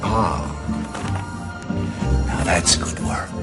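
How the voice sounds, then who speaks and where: A man playing a blunt, slow-witted art lover in a comic ad testimonial. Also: sits low, full character voice. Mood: gruff approval. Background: loud music.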